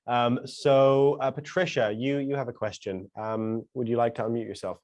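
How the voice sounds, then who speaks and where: A man's voice: medium pitch, flat delivery, normal speed, darkish tone, dry recording. A man casually hosting an online discussion in a conversational way. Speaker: host, moderator. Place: online video call.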